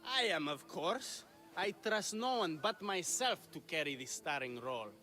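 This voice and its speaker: Grandiose greek theatre man for a game, high bright voice, gushy and full of himself. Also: expressive, a little slow, soft background music, exaggerated voice. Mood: grandly confiding. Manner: effusive, grandiose. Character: theatrical, self-important Greek playwright and leading actor. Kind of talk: video game dialogue acting.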